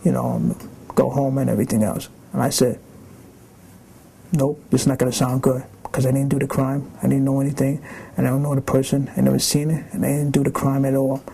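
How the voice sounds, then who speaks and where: A man's voice: mid-range voice, level tone, brisk, gravelly, warm tone, breathy edge, poor audio. A man in a casual interview, plain-spoken and repetitive. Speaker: interviewee, convicted prisoner.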